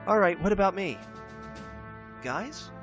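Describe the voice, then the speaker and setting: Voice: mid-range voice, animated, takes it slowly. Brash, swooping young warrior, acted out. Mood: flustered. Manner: boisterous, cocky, speaks of self in third person. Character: brash, dim, cheerful young male axe fighter. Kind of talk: fan audio drama acting. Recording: music under the voice.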